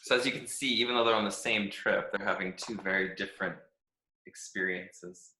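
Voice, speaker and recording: A man's voice: on the low side, steady tone, brisk, pushed and bright. A man reading a picture book aloud and explaining it for children as narrator. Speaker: storybook reader and narrator. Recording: echoey room.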